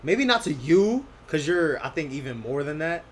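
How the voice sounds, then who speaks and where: Laid-back young man, animated pitch. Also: moderate pace, slight background noise. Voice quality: deeper voice than his co-host. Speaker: podcast co-host. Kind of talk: casual chat.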